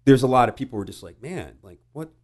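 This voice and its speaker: A middle-aged American man telling personal stories casually, halting and reflective, with some swearing. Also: mid-range voice, animated, crowd noise. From United States. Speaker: podcast guest. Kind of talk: casual conversational storytelling.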